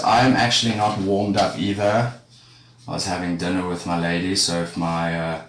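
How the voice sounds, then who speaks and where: A man casually talking to his live-stream viewers while he draws. He rambles in a relaxed way and sounds a little congested from a cold. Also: low voice. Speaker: art streamer. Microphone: streaming setup with a camera facing him. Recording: echoey room with faint background noise.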